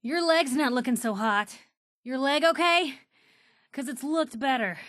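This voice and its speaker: A woman's voice: high voice, expressive, a little slow, fairly bright tone, dry recording, clean studio sound. An actress playing a plucky, wisecracking young woman reporter in a post-apocalyptic video game, with a snappy, colloquial, teasing way of talking. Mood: wary, concerned. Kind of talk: video game companion voice acting.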